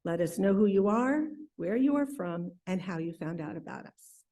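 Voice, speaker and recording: A woman leading a church service, speaking slowly and in a measured way. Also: mid-range voice, lively intonation, dark tone. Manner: slow, measured reading. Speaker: service leader.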